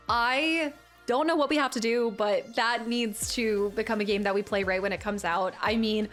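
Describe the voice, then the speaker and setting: A young woman streamer chatting casually with her viewers, laughing and teasing herself as she reads the chat aloud. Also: on the high side. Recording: faint music behind the voice.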